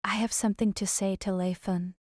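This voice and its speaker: A woman's voice: mid-range voice, level tone, a little fast, no room sound, clean studio sound, close to an everyday voice. A woman playing an earnest, hesitant teenage girl in an audio drama. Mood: hesitant, serious.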